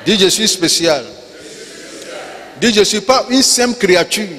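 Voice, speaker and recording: A man's voice: high-pitched, expressive, unhurried. Preaching man in a reverberant church. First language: not French. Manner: call-and-response preaching, repeats key words, rises to shouts. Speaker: pastor. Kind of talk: sermon. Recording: long reverb of a church hall.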